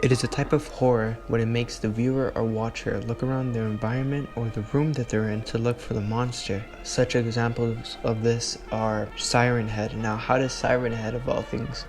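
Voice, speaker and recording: A man giving an informal video essay commentary in an earnest, conversational, rambling manner. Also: mid-range voice. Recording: music under the voice. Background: music.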